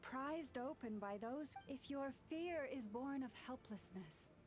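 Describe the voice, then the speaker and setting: A woman voicing a gentle, solemn moon priestess in a video game, earnest and measured in her speeches. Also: sits high. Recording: game audio with noise behind.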